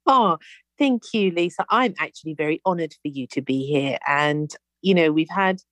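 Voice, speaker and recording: Deep-voiced effusive woman host, dry sound. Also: moderate pace, dry recording. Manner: effusive, gushing welcomes. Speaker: podcast host. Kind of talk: interview hosting with a read introduction.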